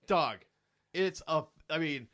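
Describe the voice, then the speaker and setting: An American man hosting a podcast, telling things in a joking, animated way with casual banter. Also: a little high, takes it slowly. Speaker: podcast host.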